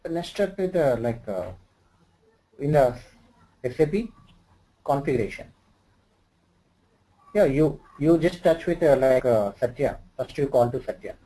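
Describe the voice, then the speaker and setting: A man from Andhra Pradesh with an Indian English accent, tutoring one-on-one as an instructor and pausing often over fillers and loose grammar. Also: medium pitch, expressive, warm tone, low-quality recording. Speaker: SAP HR instructor.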